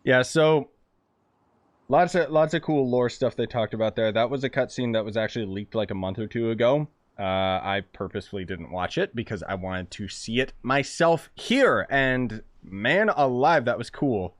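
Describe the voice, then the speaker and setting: A man's voice: medium pitch, animated, normal speed, dry recording. A man giving casual, rambling gameplay commentary in an informal way. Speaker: gaming YouTuber. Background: game music.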